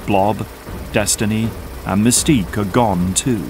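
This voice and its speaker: A man's voice: lowish voice, unhurried, background noise. A man delivering scripted narration for an audio drama, as its narrator.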